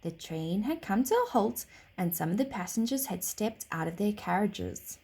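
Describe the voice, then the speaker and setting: A woman narrating a children's story expressively, like a teacher reading aloud, with dramatic, deliberate phrasing. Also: mid-range voice, very animated, a little mellow, breathy edge.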